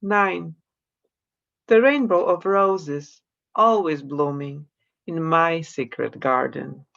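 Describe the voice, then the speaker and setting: A woman from Poland with a Polish accent, reading her poems slowly and introducing them in a measured way on a video call from her home. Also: low voice, creaky, a bit bright, dull audio. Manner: slow, measured reading of verse. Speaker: poet. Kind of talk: poetry reading with spoken introductions. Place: room at home.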